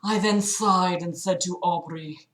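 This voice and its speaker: Sarcastic lady streamer reading a book out loud and roasting it, bright highish voice, roomy echo. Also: measured pace. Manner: animated, sarcastic, exasperated asides, swearing. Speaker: livestream host. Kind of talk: mocking read-aloud of a book with casual commentary to live chat.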